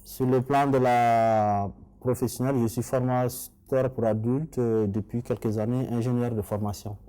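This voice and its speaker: A middle-aged man with a Malian accent speaks in a mid-pitched, mellow voice. He sounds like a candidate in a formal interview, laying out his answers in an earnest, structured way. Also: fairly even intonation, moderate pace. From Mali. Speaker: election candidate being interviewed.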